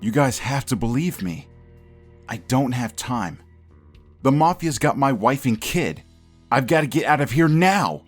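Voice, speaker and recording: Man playing desperate, insistent government agent, medium-pitched. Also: lively intonation, measured pace, husky, soft background music. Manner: insistent, exasperated. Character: desperate male government agent pleading his innocence. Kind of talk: comic book audio drama.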